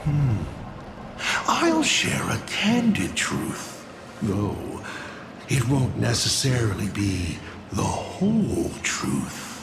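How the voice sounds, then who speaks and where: Slow, theatrical, gravelly game villain. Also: male voice, medium pitch, wildly swooping pitch, background noise, exaggerated voice. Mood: sly, confiding. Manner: theatrical, teasing, deliberate. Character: theatrical male villain lord, cunning, amused, grandiose gambler. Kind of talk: dramatic video game voice acting. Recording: echoing voice with music underneath. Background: music.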